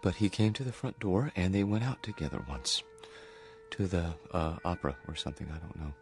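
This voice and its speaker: An actor playing an earnest, vulnerable psychiatric patient in a radio drama, with a mild, hesitant manner. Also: male voice, deep voice, a little fast, faint music behind. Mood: anxious, yearning.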